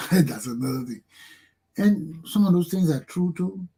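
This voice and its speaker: A middle-aged man with a Liberian accent delivers a motivational monologue on his own talk show. His voice sits a little high for a man and sounds warm and husky. He preaches with emphasis and circles back to repeat his points. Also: lively intonation, measured pace.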